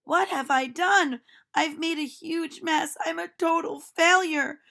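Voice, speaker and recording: A woman's voice: extremely high pitch, slow pace, vocal fry, exaggerated voice. A woman reading a storybook as an eager, dramatic little male mouse who is easily discouraged. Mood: despairing. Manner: excitable, dramatic. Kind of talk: storybook read-aloud.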